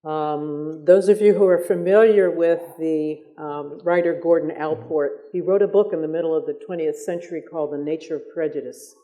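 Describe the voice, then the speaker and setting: An older man, a retired professor and moderator, explaining in a measured, lecturing manner. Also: on the high side, dark tone. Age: 60s or older.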